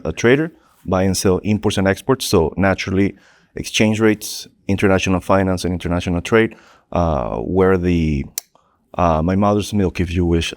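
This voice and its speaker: Deep-voiced Mexican-raised economist, dry sound. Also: male voice, a little mellow, no echo. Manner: informal, hesitant, many fillers. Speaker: economics professor. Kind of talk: personal introduction.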